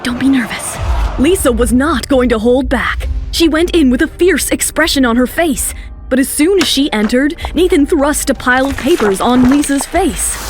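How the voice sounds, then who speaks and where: A woman's voice: high voice, lively intonation, moderate pace, faint music behind. A woman reading scripted, dramatic story narration for an audio drama.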